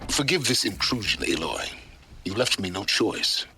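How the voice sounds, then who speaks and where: An actor playing a mysterious, composed informant in a video game, speaking in a measured, cryptic, formal way. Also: male voice, lowish voice, expressive, sharp tone, soft background music. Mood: cool, urgent. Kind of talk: video game cutscene acting.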